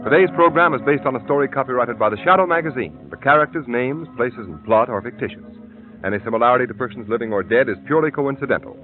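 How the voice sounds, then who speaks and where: Emphatic old-time radio announcer. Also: male voice, medium pitch, animated, quick pace. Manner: crisp, emphatic. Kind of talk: scripted announcing. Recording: tinny old radio broadcast with music.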